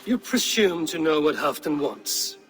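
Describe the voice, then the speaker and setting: An actor playing a stern, dry-witted Viking warrior in a video game, terse, direct and level-headed. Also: male voice, on the high side, lively intonation, a little slow, faint music behind. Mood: firm. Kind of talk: video game dramatic acting.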